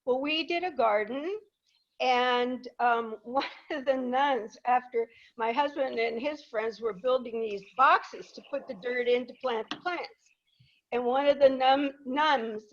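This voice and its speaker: An older woman from Peru tells stories to a live audience in an unhurried way, with a slightly high voice. She is chatty and self-deprecating. The recording is dull and lo-fi. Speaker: guest speaker. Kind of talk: anecdotal storytelling to a live audience.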